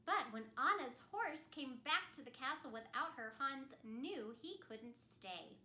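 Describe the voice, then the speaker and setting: A woman performs a children's story as an ice queen. Her voice is high and lively, and she speaks slowly and theatrically, in simple words meant for young listeners. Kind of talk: animated first-person children's storytelling. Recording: roomy echo.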